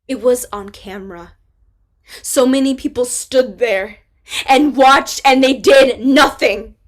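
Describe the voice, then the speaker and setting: An actress as a grieving young pregnant woman. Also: sits high. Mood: bitter. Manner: halting, trailing into quiet, near-whispered confessions. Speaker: actor in a staged play reading. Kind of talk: staged play reading.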